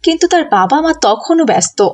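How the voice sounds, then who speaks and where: A woman's voice: high voice, lively intonation, normal speed. A woman narrating a scripted children's story as the storyteller.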